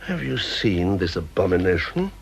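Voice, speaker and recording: A British actor in a radio drama playing a middle-aged, stern, exacting scientist boss, clipped, formal and terse. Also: male voice, sits low, very animated, moderate pace, vocal fry, slight background noise, heavily acted. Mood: curt, stern. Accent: British English. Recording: dull, lo-fi old radio recording.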